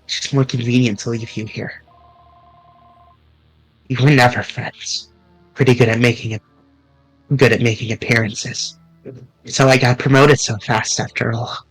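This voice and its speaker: A man's voice: medium pitch, steady tone, moderate pace, pauses often, husky, no echo, soft background music, pitched below the performer's own voice, lightly acted, poor audio. A man voicing a cold, two-faced manager villain with a sneering, contemptuous manner, reading a visual novel aloud.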